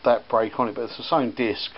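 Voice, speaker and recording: A man rambles through a casual chat, full of ums and restarts, in a middle-pitched voice. He is filming himself outdoors in a garden with a camera mic. The audio is a little dull, with faint outdoor ambience and church bells behind him. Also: fairly even intonation, fairly quick, a little hiss or hum. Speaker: vlogger showing auction finds.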